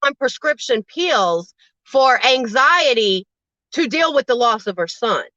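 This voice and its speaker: Loud, bright-voiced woman, blunt and profane. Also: fairly high pitch, big pitch swings, unhurried, no room sound, highs cut off. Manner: blunt, profane, emphatic storytelling; says she has a naturally loud voice. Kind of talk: casual chat on a livestream.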